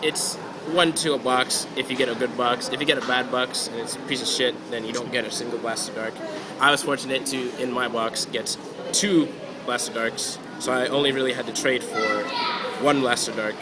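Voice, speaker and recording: A man sick and close to losing his voice talks through his card game deck in a loose, offhand way. He drops the odd swear word and trails off with fillers. Clear background noise and passing traffic fill the store around him. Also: medium pitch, fairly even intonation, normal speed. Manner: laid-back, swears casually, trails off with fillers. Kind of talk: casual deck walkthrough. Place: hobby store.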